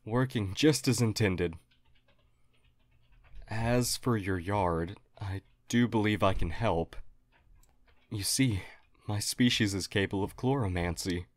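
A man's voice: lowish voice, slow pace, long pauses, no room sound. A man playing a friendly alien historian, formal, earnest and hesitantly apologetic, in an audio roleplay. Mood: earnest, polite. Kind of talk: audio roleplay acting, addressed to listener.

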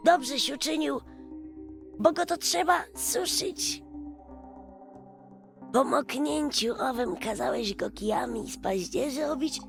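A woman voicing a patient, wise old male prisoner in a storybook, speaking in a slow, emphatic, creaky way. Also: high voice, voice pushed up, full character voice. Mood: reproachful, solemn. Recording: music behind the voice.

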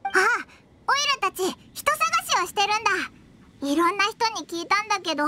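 A woman voicing a tiny childlike fairy companion in an anime game, squeaky, blunt and curious, with boyish wording. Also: lively intonation, moderate pace, pushed and bright, heavily acted. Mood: puzzled, curious.

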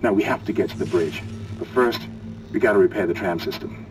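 Low, commanding space-mission leader, played by a man. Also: fairly quick, recorded from a distance, a little hiss or hum, lightly acted. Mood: tense, controlled. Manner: firm, commanding. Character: stern, determined male commander of a stricken spaceship mission. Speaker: squad leader. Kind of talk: video game dramatic acting.

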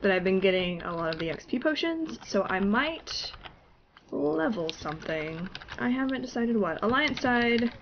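A woman's voice: on the high side, expressive, normal speed, highs cut off, slight background noise. Congested woman chatting over gameplay. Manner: chatty, laughing, self-deprecating. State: congested with a cold. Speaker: gaming YouTuber. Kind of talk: casual gameplay commentary. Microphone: desktop mic, no webcam.

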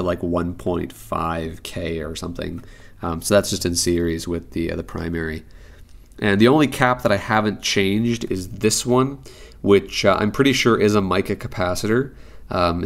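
Deep, gravelly, informal man. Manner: informal, rambling, thinking aloud. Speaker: radio repair hobbyist and presenter. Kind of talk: casual unscripted repair demonstration. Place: indoors at a desk in an apartment living room.

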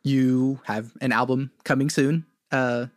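A man's voice: mid-range voice, fairly even intonation, slow, no echo, clean studio sound. A male podcast host asking searching questions hesitantly, with many fillers. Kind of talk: podcast interview.

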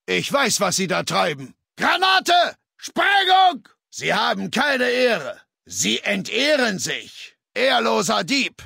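An actor playing a fanatical legion soldier in a video game, barking threats in a rough, gravelly, aggressive way. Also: male voice, sits high, sing-song, takes it slowly, few pauses, sharp tone, no room sound, clean studio sound, heavily acted. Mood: hostile, aggressive. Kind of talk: video game combat barks.

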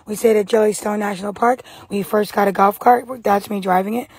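A young woman doing a casual, plainly told vlog voice-over with a husky voice. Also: medium pitch, fairly even intonation, fairly quick, darkish tone, no echo, clean studio sound. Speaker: vlogger. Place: voice-over, partly recorded outside.

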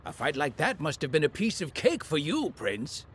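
An actor playing a fussy, grumbling little old demon with an excitable manner in a video game. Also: male voice, a little high, normal speed, slight background noise. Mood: flattering. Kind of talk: English video game cartoon acting.

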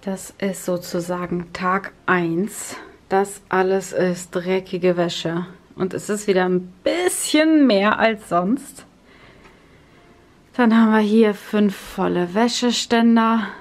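A woman's voice: medium pitch, slow pace. A woman in her thirties narrating her household routine in a chatty voice-over, explaining each step and repeating words for emphasis. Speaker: family vlogger.

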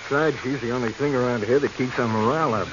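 An actor playing an earnest, touchy deep-sea treasure diver in a 1940s radio drama. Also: male voice, quick pace, lightly acted. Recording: muffled, noisy old radio recording.

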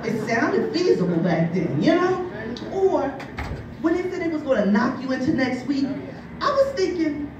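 A woman's voice: high voice, big pitch swings, normal speed, lo-fi sound. A woman in her forties from New Jersey doing a bawdy stand-up set, often asking "you know what I mean?". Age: 40s. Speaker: stand-up comic. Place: brewery taproom with live audience. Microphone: filmed from the crowd by a far camera. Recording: echoey room, from far off, crowd noise.

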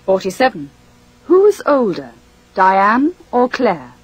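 Slow husky woman reading drills. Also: mid-range voice, huge pitch swings, poor audio. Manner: slow, deliberate, carefully enunciated. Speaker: language course reader. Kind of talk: scripted English drill.

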